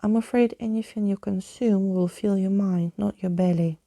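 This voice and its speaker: A woman voicing a fretful, pompous living skeleton in a softly read storybook, with wordy, indignant old-fashioned phrasing. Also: medium pitch, fairly even intonation, moderate pace, no echo, natural voice. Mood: cautioning.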